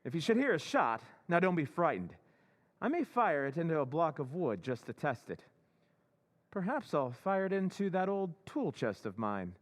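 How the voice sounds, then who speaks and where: A man playing a scheming robot that poses as a husband in an old-time radio drama recreation, smooth and falsely sweet with a menacing edge. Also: fairly high pitch, very animated, moderate pace.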